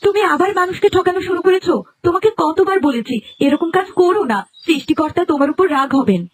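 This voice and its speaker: A woman's voice: very high-pitched, fast. A woman voicing a scolding village wife in a cartoon fable, reproachful and preachy. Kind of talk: Bengali cartoon fable voice acting.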